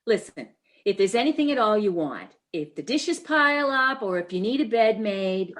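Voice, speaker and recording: A woman in a comedy reading, playing a brisk, chirpy, reassuring friend who manages a matchmaking. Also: medium pitch, big pitch swings, close to an everyday voice. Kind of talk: comedy readers theater.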